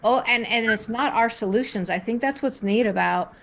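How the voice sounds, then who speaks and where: A woman's voice: on the high side, moderate pace, vocal fry, a bit bright. A woman speaking as a panelist in an informal, rambling, conversational way. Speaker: panelist working with clients. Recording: noticeable background noise.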